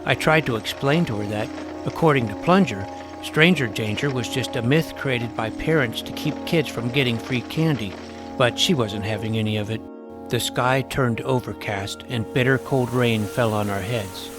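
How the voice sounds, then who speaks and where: A man acts out a geeky, self-doubting boy hero of a fantasy audio drama. He is wry and earnest, speaking at a middling male pitch as he tells his own story. Music plays softly behind him. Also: normal speed, close to an everyday voice. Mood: wry storytelling. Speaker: narrator and lead character. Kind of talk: first-person scripted fantasy narration and dialogue.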